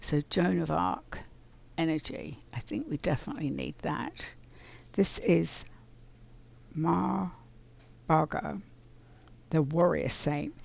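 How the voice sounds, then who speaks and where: Woman host, scratchy and very gravelly, slow and reflective, for a casual card reading. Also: deep voice, expressive, darkish tone. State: scratchy voice from pollen. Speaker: spiritual card reader.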